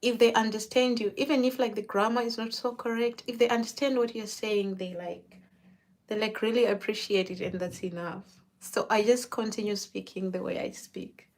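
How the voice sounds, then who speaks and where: A chatty woman from Zimbabwe, talking with a client as she works on her hair. Her pitch sits in an ordinary middle range for a woman. She loops back and repeats words as she restarts her sentences, in an easy, conversational way. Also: steady tone, moderate pace. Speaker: hairstylist. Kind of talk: casual chat while doing hair.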